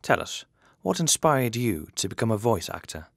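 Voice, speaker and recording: A man's voice: medium pitch, sing-song, moderate pace, vocal fry, no room sound, studio quality. A man reading out scripted questions as an interviewer.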